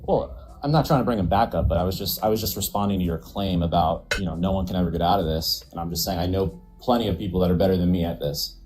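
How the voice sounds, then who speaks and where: Low, dark-toned man, halting and cautious. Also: faint music behind. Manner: hesitant, stammering restarts. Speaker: debate guest. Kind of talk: casual online debate.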